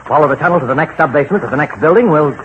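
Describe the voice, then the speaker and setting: An actor playing an impatient, jumpy husband in 1940s radio horror, brusque, excitable and overwrought. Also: male voice, fairly high pitch, very fast, close to an everyday voice. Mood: brusque, dismissive. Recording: muffled, hissy 1940s radio recording.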